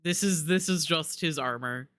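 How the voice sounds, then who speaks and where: A man's voice: on the high side, normal speed, a bit bright, clean tone, dry recording. A man giving casual live commentary as a game streamer, talking into a close mic.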